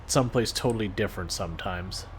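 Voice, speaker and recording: A man streaming a game live, talking through his play in a calm, soothing, laid-back way. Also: lowish voice, fast talker, slight background noise. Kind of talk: casual live stream gameplay commentary.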